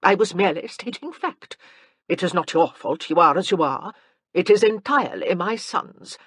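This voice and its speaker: A woman reads a stern, elderly grandmother of high rank who judges everyone bluntly and gives orders. The storybook voice rises and falls a lot and sounds a little husky, recorded dry and close. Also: animated, moderate pace, fairly bright tone, no room sound. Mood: imperious. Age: older. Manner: imperious, cutting.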